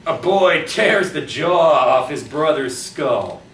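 Animated man reading horror in a roomy space. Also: fairly high pitch. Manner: theatrical, deliberate storytelling with wry humour. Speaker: author reading his own fiction. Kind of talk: dramatic live reading of short horror fiction. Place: live reading at a convention, indoors. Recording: roomy, distant live recording with background noise.

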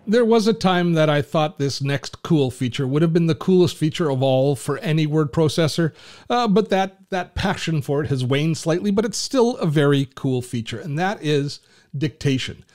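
Enthusiastic Canadian man walking through software. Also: a little high, animated, normal speed. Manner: chatty, enthusiastic, self-deprecating asides. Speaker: tech channel host. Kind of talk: screen-share software tutorial. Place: home office with shelves and artwork. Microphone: large studio mic on a boom arm.

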